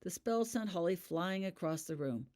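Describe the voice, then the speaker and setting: Woman, low voice, very varied pitch, audiobook narration, fiction reading. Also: lively intonation, moderate pace, a little mellow. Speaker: author reading their own fan fiction.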